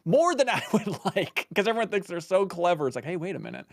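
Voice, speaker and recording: A man's voice: sits high, big pitch swings, fast talker, dry recording, clean studio sound. A man in casual podcast chat, asking questions in a self-deprecating way.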